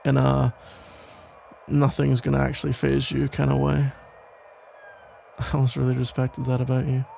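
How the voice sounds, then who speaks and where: Man playing a gravelly, tender protective police officer. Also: medium pitch, monotone, slight background noise. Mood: admiring, gentle. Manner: hesitant, halting, rambling with sighs and soft chuckles. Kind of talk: ASMR roleplay, intimate one-sided conversation.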